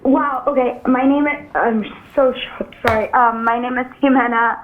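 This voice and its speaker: A woman in her twenties from Venezuela, calling in to a show; she is nervous, apologizes often and speaks haltingly. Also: fairly high pitch, fairly even intonation, a little hiss or hum. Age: 20s. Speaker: caller. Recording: tinny phone line.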